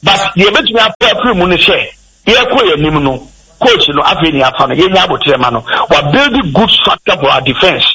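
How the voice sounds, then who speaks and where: A man with a Ghanaian accent, a football pundit on a sports talk show, talking in an animated, rambling way and switching between English and Twi. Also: sits high, moderate pace, slightly rough, rough recording. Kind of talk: radio sports discussion.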